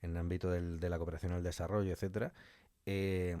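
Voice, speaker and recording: Low-voiced Spanish man in conversation, dry sound. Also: little pitch movement, fast talker, warm tone, no room sound. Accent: Spanish (Spain). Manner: explains with rhetorical questions and imagined quotes. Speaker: interview guest, audio fiction writer. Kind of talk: conversational interview, giving opinions.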